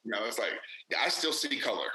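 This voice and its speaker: A man's voice: mid-range voice, very animated, fairly quick, bright, forceful tone, echoey room, low-quality recording. A man, an actor and director, speaking candidly and emphatically in a casual interview, with many fillers and restarts.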